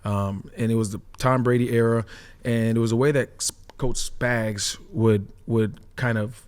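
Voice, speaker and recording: Male ex-player analyst, medium pitch, conversational and explanatory. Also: steady tone, a little slow, a little mellow, clean tone. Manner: explanatory, often checks with "right?". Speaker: former player turned football analyst. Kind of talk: casual sports analysis.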